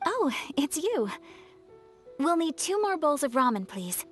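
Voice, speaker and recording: A woman's voice: high voice, sing-song, a little slow, loud music behind the voice. An actress playing a polite, refined young noblewoman in an anime game, speaking with courteous composure.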